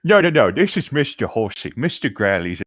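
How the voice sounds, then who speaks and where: A man's voice: mid-range voice, sing-song, normal speed, fairly bright tone, husky, no room sound. A man chatting casually and narrating a fan-written story as an amateur co-reader.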